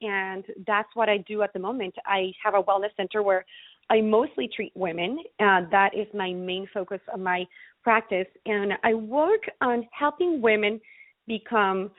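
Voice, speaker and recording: A woman from Ecuador, explaining health topics conversationally as an interview guest, in an earnest, deliberate way. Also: mid-range voice, no echo, narrow, tinny audio. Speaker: interview guest, naturopathic physician.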